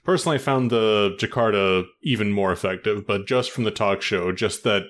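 A man in a casual review chat gives his opinions in a thoughtful, measured way. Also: lowish voice. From United States.

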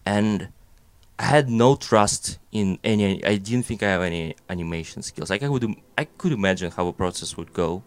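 A man's voice: on the low side, moderate pace, dry recording. A young man with a Russian accent, chatting casually and rambling through his thoughts with many fillers and self-deprecating jokes. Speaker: animator guest. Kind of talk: casual conversation.

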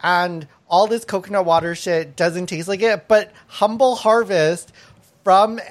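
A woman's voice: lowish voice, fairly even intonation, unhurried. Blunt laughing podcast host woman. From Thailand. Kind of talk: casual podcast chat.

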